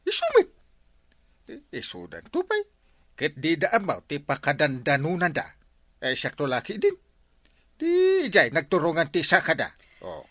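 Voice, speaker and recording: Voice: medium pitch, huge pitch swings, moderate pace, long pauses, fairly bright tone, no echo, clean studio sound. An actor playing a grumbling villager in a comedy drama. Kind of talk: comedy radio drama acting in Ilocano.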